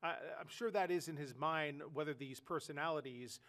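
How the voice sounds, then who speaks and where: A man's voice: fairly high pitch, moderate pace, a bit bright. Nasal man with a head cold, rambling sports talk. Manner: rambling, lots of fillers and restarts. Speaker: sports podcast co-host.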